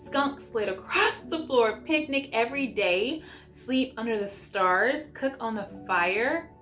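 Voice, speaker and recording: Unhurried, higher-voiced woman, chatty and enthusiastic. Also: lively intonation, a bit bright. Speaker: homeschool mom sharing book picks. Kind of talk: casual vlog chat with read-aloud passages. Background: music.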